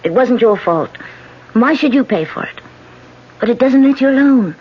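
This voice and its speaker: An actress playing a troubled politician hiding a dark secret in a 1970s radio drama, speaking in a guarded way. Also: female voice, a little high, expressive, moderate pace, slightly rough. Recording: dull old radio broadcast with music.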